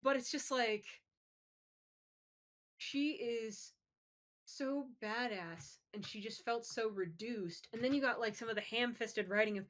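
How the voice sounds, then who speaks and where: A woman co-hosting a podcast, chatting casually about pop culture in an informal, opinionated way. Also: a little high. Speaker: podcast co-host. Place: live-streamed podcast.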